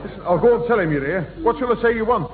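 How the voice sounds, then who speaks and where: A man's voice: high voice, expressive, very fast, narrow, tinny audio. A man playing a genial beer-loving pub regular with a Northern English accent, pompously mangling long words in a 1960s radio sitcom. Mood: helpful, comic. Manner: jolly, pompous malapropisms. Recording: thin, noisy old radio broadcast.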